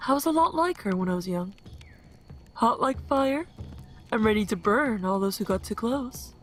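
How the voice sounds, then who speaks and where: A woman acts out a wise, gentle goddess for an audio drama. Her voice is on the high side. She speaks reflectively, with playful fondness. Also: animated, normal speed, faint music behind, lightly acted. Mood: fond, reflective.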